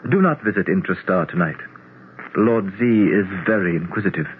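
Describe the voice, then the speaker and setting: An actor in an old-time radio drama playing a solemn, courtly alien prince, formal and measured. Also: male voice, low-pitched, close to an everyday voice. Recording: tinny, faintly noisy vintage radio broadcast.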